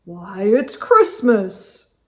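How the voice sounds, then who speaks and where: Woman playing slow, kindly giant Santa Claus. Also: medium pitch, sing-song, reverberant. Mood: jolly, reassuring. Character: gigantic, kindly, jolly Santa Claus, an old man. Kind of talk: children's storybook character voice.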